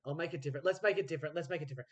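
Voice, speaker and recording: A man's voice: on the high side, racing, a bit bright. A man hosting a podcast, interviewing guests in a casual style and setting improv prompts.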